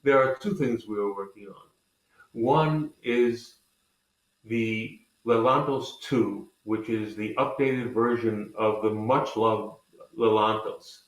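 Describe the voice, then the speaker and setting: A man with a fairly low voice explains products in a conversational, enthusiastic way, like a company head in an interview. His voice carries a clearly roomy echo. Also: takes it slowly. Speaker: company president being interviewed. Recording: echoey, roomy sound.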